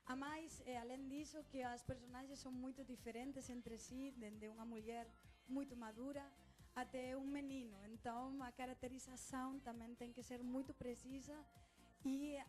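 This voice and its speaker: A woman's voice: sits high, steady tone, moderate pace, darkish tone, soft background music. A woman from Galicia with a Galician accent, an actress talking in an interview. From Galicia, Spain. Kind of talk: interview talk.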